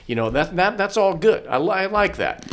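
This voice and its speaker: A hoarse man co-hosting a casual sports podcast, joking and rambling with lots of fillers. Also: high voice, sing-song, moderate pace, a little hiss or hum. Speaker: podcast co-host.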